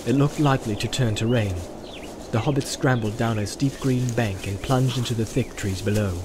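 A man reading a fantasy audiobook aloud, narrating in an even, storytelling delivery. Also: medium pitch, moderate pace, background noise. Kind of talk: scripted narration.

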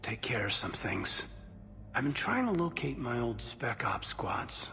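A man playing an earnest, soft-spoken, somewhat hesitant space soldier in a video game, speaking intimately. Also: medium pitch, sing-song, a little slow, vocal fry, a little hiss or hum, full character voice. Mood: sincere, relieved. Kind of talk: video game dialogue acting.

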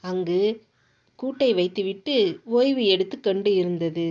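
A woman's voice: mid-range voice, lively intonation, a little slow, darkish tone. Female narrator for kids' animal tales: mid-range voice, storytelling delivery, slightly muffled recording. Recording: dull lo-fi audio.

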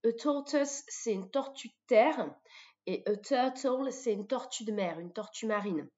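A French-accented woman teaching vocabulary in a didactic way, repeating words deliberately for pupils. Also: on the high side, a little mellow. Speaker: English teacher. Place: voice-over of a screen recording. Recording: dull lo-fi microphone sound.

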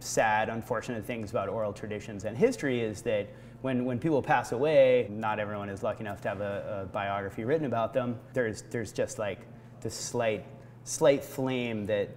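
An American man in his thirties from Vermont giving reflective interview answers, haltingly, searching for words. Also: fairly low pitch, darkish tone. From Vermont, United States. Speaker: brewery founder being interviewed. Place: indoor barrel room of a brewery. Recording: noticeable background room noise.

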